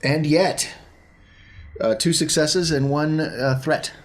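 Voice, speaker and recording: A man's voice: on the high side, takes it slowly, noisy background. A man chatting casually as a player in a tabletop role-playing game.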